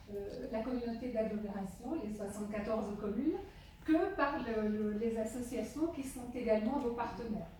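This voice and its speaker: A woman with a high voice addresses a public meeting as the official chairing it. Her delivery is deliberate and halting, with frequent pauses between phrases. The sound is very reverberant and distant from the microphone. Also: mellow. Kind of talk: opening speech at a public meeting. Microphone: handheld portable microphone. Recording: echoey hall, distant microphone, faint noise.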